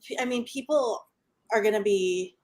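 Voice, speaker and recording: A woman in her thirties speaking as a guest in a casual panel discussion, halting and rephrasing as she goes. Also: medium pitch, darkish tone.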